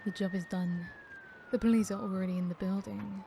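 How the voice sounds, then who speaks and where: A woman playing a sadistic, smug female serial killer in an ASMR horror roleplay, theatrical and unhurried, often dropping into breathy whispers. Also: mid-range voice, fairly even intonation, noisy background, lightly acted. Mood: cold, curt.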